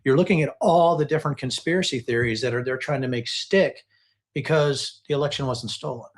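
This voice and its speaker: A man's voice: mid-range voice, a little fast. A man hosting a casual live political discussion, talking emphatically and often repeating phrases to drive his point. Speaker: host commentator analyzing political text messages.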